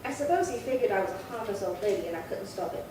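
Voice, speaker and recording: A woman's voice: medium pitch, expressive, fast talker, natural voice. A woman acting a prim, chatty, indignant old lady in her late seventies in a 1950s-style radio crime drama, fussy and rambling. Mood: aggrieved. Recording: very echoey, distant, noisy room.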